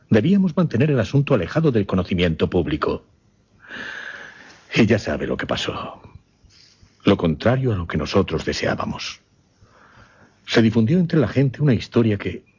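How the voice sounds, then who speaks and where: Husky, low-voiced courteous gentleman. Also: exaggerated voice. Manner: polite, hesitant, formal. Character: courteous, earnest gentleman host, troubled by a scandal. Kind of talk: Spanish-language radio drama acting. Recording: muffled, lo-fi radio drama recording.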